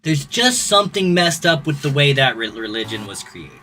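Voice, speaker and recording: Male streamer, mid pitch, droning rambling rants, music playing behind. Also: big pitch swings, moderate pace, sharp tone. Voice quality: droning when ranting. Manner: rambling ranting with restarts. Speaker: gaming streamer. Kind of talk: casual livestream chat. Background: clear background music.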